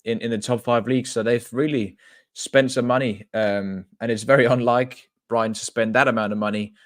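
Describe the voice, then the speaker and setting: A man's voice: mid-range voice, fairly even intonation, a little fast, no echo, studio quality. A man co-hosting a podcast who talks casually and hesitantly, with many ums and restarts. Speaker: podcast co-host. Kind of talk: casual chat.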